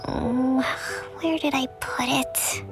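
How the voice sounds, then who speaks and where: A woman voicing a gentle, tired young priestess leader in a video game, soft-spoken and sighing, often half-whispered. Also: sits high, steady tone, takes it slowly. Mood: weary. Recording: game audio with clear background music.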